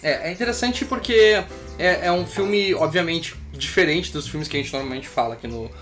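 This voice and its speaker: A woman's voice: lowish voice, moderate pace, over music. A woman co-hosting a casual, conversational film review. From Brazil. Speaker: co-host of a film review show.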